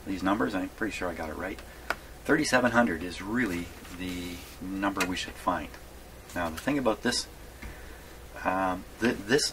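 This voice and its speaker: A man giving a casual hands-on workshop demonstration, thinking aloud in a rambling way and often questioning himself. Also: deep voice. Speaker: presenter of radio repair videos. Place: small home workshop. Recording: clearly noisy small workshop recording.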